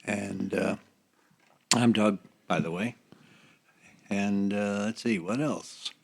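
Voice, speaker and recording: A man's voice: lowish voice, slow, gravelly, breathy edge. A man around his seventies chatting casually as a guest in a small studio. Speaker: guest, church member. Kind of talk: casual chat. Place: small studio round a table.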